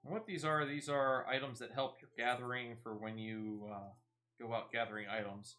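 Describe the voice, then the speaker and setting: A man's voice: medium pitch, steady tone, normal speed, bright, forceful tone. A man giving casual, playful gameplay commentary as he streams. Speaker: game streamer. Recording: music playing behind the voice. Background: game music.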